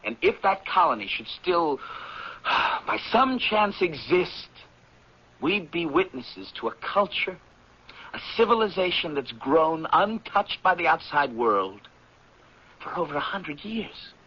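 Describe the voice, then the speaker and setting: An American actor playing an eager, idealistic anthropology professor in a 1970s radio drama, with an earnest, persuasive delivery and a husky voice. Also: male voice, a little high, very animated, heavily acted. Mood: excited, persuasive. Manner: earnest, persuasive, deliberate when reading aloud. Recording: dull, lo-fi vintage radio recording.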